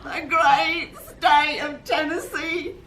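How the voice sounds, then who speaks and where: A woman's voice: high-pitched, steady tone, takes it slowly. A woman voicing a distraught mother on the witness stand, tearful and wailing, in an amateur play reading. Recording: echoey room with loud noise and crowd.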